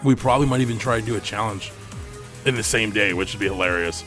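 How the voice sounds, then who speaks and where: A man's voice: on the low side, fast, a bit bright, faint music behind. A man hosting his gaming channel, chatting casually over gameplay. He is tired and losing his voice, and he rambles in a laid-back way and swears freely.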